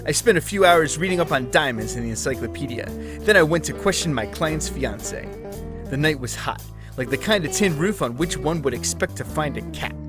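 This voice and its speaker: Man, detective narrator, dry deadpan noir parody read, guitar bed underneath. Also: a little high, moderate pace.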